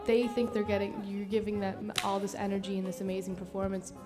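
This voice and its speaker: A woman giving an informal, animated interview recollection, chatty and full of casual filler words as she retells things. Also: medium pitch, a little fast, loud music behind the voice. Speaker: dancer interviewed about touring.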